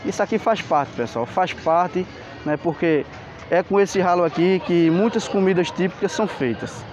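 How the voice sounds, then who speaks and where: A man's voice: high-pitched, normal speed, a little mellow, faint music behind. A man with a Pernambuco accent filming a walking tour of an outdoor street market, pointing things out and asking viewers for likes. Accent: Pernambuco Portuguese. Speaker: travel vlogger. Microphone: handheld camera. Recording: lo-fi camera audio with street noise.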